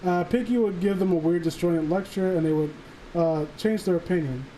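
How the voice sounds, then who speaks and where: A man chatting and reading aloud on a casual livestream, halting and sardonic, with some swearing. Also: high-pitched, dark tone, slight background noise, low-quality recording. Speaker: streamer reading stories aloud. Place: voice call livestream.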